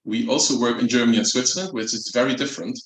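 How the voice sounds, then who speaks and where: A man with a Dutch accent speaks at a mid pitch. He talks in a relaxed, chatty way with light jokes. The sound is echoey, as in a hall. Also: racing. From Netherlands. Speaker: company executive. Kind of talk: formal speech at a launch event. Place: event room.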